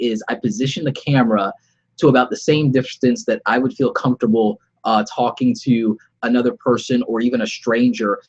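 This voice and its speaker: Brief: congested man with a cold, husky and nasal, chatty and encouraging, slightly muffled webcam live-stream sound. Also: medium pitch. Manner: conversational, upbeat advice-giving. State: slightly sore throat. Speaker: vlogger. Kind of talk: unscripted live-stream vlog. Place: home studio room, alone. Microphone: webcam about arm's length away.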